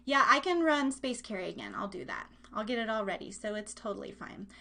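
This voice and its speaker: A woman's voice: medium pitch, expressive, fairly quick, gravelly, sharp tone. A woman hosting a live online music show in a casual, chatty, gushing way. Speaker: radio show host.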